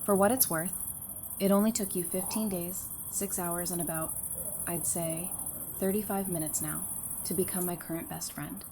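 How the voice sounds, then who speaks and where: A woman playing a sincere, warm and teasing female survivor in an audio drama. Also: lowish voice, fairly even intonation, moderate pace, slight background noise, close to an everyday voice. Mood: playful. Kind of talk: fan audio drama acting.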